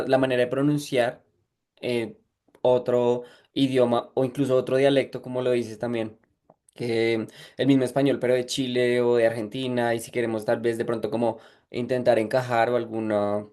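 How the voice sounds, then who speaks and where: A man's voice: mid-range voice, dark tone. A man who is a language teacher and researcher, a guest in a casual podcast chat, reflective and often repeating words as he searches for them.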